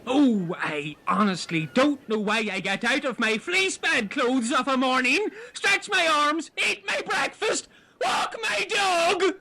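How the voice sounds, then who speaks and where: A man playing an excitable, easily wounded, foolish castaway in an improvised comedy audio drama, whiny and melodramatic. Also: extremely high pitch, slow, creaky, slight background noise, heavily acted. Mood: sulky.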